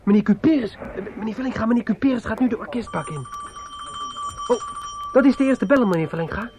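An actress in a radio drama playing an eager, nervous young theatre helper who is hesitant and anxiously attentive. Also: female voice, on the high side, lively intonation, brisk, close to an everyday voice. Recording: dull, noisy old radio recording.

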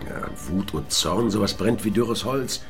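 An actor playing a reflective, erudite police inspector with a pedantic manner in a radio crime drama. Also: male voice, on the low side, unhurried, gravelly, soft background music. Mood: pensive, dry.

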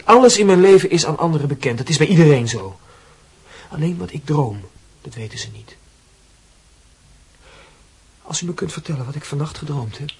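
A man's voice: on the high side, lively intonation, normal speed, pauses often, husky, full character voice. A man acting a prickly, sarcastic young student with a curt manner in a Dutch radio play. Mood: bitter, guarded.

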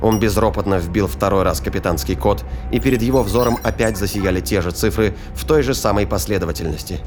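A man with a fairly low voice narrates a science-fiction thriller. His delivery is formal, measured storytelling, set against clearly audible music.

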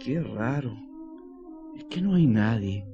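A man's voice: mid-range voice, animated, slow, dark tone. An actor playing a worried middle-aged countryman in a radio drama. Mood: puzzled. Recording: dull 1990s radio tape, music behind.

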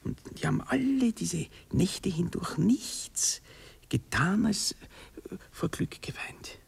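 An actor playing a nervous, sensitive young gentleman in love in a 1960s German radio drama, halting, stammering and self-pitying. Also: high-pitched, very animated, vocal fry, husky, whispered, heavily acted. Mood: awkward, explaining.

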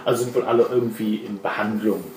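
A man's voice: on the low side, fairly even intonation, fast, a crowd in the background. A man improvising narration as game master of a tabletop role-playing game. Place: indoor room at a game table. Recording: echoey room with clear background noise.

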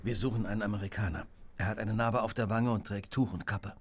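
A man's voice: fairly low pitch, steady tone, fast, noisy background, natural voice. An actor playing a self-assured male detective in a radio drama, commanding, precise and dryly ironic.

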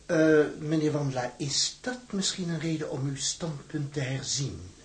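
An actor playing a court-appointed defence lawyer, formal, polite and businesslike, in an audio drama. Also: male voice, on the high side, expressive, moderate pace, close to an everyday voice. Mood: measured, probing.

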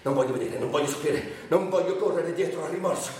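A man's voice: fairly high pitch, fast talker, darkish tone, natural voice. An actor playing a troubled, bitter man in a volatile, haunted literary monologue for radio drama. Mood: scornful, desperate. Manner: brooding, volatile, swinging between outbursts and murmurs. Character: troubled, bitter man recalling drug years, cynical and haunted. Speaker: stage actor interpreting a monologue. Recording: distant, very echoey, faint background noise.

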